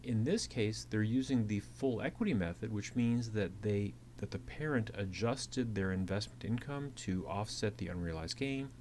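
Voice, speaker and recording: A man's voice: fairly low pitch, moderate pace, few pauses, a little mellow. A man giving a methodical explanatory lecture as an instructor, repeating key points as he goes. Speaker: accounting instructor. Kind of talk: explanatory lecture narration over slides. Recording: clearly audible hiss and hum.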